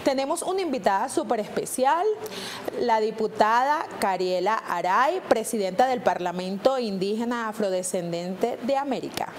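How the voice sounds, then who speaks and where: A woman's voice: on the high side, expressive, slight background noise. Polished Venezuelan woman TV host. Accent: Venezuelan Spanish. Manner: polished, announcer-like, slows down for numbers. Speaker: TV host and lawyer. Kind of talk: formal TV presenting.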